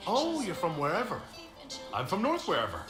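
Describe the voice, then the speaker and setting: A man's voice: high voice, wildly swooping pitch, normal speed. An actor playing an inquisitive male leader who asks questions with a dry sense of humor. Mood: curious. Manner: curious questioning, dry wit. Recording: roomy game audio with music.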